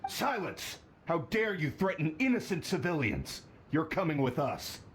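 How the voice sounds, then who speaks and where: A stern city guard in a video game, fairly high for a man. He is commanding and indignant, with strongly swinging intonation. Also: very animated, normal speed.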